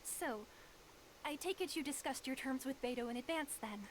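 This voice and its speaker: Woman playing a high-voiced, flustered young registration clerk. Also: moderate pace, a little hiss or hum, lightly acted, poor audio. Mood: polite. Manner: hesitant, polite, stammering. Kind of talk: video game voice acting.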